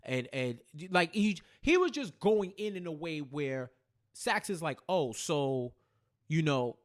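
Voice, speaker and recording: A man with a slightly high voice talks casually as a podcast co-host, going over a show in recap. His delivery is halting, and he circles back to repeat phrases while he works out the thought. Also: big pitch swings.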